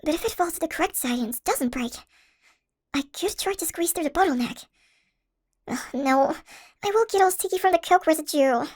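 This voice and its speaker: Very high-pitched anxious college girl, acted by a woman. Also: animated, normal speed, darkish tone. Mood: anxious musing. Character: anxious, chatty shrunken college girl, class president. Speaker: audio roleplay voice actor. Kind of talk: first-person audio roleplay, conversational acting.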